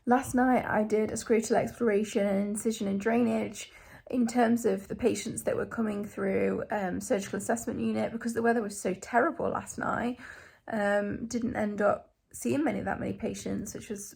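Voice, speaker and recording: Woman in her young adult years, hoarse with a cough, easy vlog chat, gravelly voice. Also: medium pitch, level tone, normal speed, a little mellow. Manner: chatty, tired, filler-heavy. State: croaky with a cough. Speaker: junior hospital doctor. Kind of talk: casual vlog to camera.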